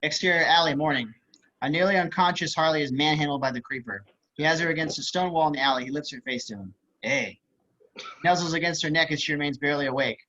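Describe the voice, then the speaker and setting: Bright, high-voiced man reading stage directions. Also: quick pace. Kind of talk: screenplay table read narration. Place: group video call. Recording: slightly dull video-call audio.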